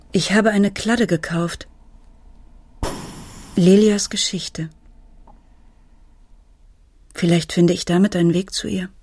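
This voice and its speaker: An actress playing a worried mother and journalist, telling of her sick daughter in a sober, hushed first-person radio monologue. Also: lowish voice, a little hiss or hum.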